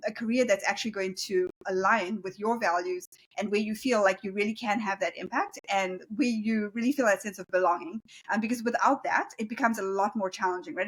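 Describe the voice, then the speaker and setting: A woman's voice: mid-range voice, fairly bright tone, husky, rough recording. A woman from South Africa with a South African accent, a leadership coach speaking earnestly and reflectively as an interview guest, with many fillers. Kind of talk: conversational interview.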